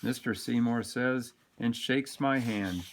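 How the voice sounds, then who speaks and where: A man reading a children's picture book aloud in an expressive, deliberate storytelling manner. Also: medium pitch, slight background noise. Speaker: storybook reader.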